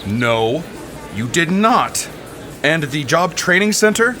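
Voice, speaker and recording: A man's voice: on the high side, sing-song, slow pace, background noise. A man playing a skeptical, matter-of-fact official asking questions in a comedy sketch. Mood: incredulous. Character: skeptical, dry male official inspecting a facility. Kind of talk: sketch comedy audio drama.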